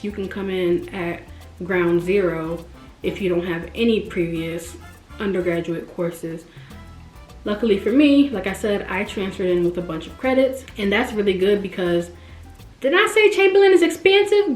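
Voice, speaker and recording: A woman in her twenties chatting casually and expressively to her viewers, jumping up in pitch when she stresses a point. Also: a little mellow. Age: 20s. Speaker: vlogger. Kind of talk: casual direct-to-camera chat. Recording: roomy echo with faint music.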